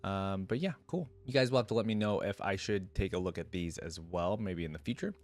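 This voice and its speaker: A man hosting a reaction video, casual and informal, reading aloud and thinking out loud with asides. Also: low-pitched, brisk.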